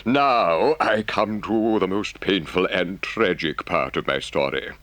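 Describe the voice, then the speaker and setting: A man's voice: low-pitched, slow pace, fairly bright tone, voice pushed up. A man voicing an earnest, indignant water rat in an audiobook, emphatic and dramatic as he speaks to a friend. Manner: emphatic, scolding, dramatic storytelling. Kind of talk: audiobook character voice.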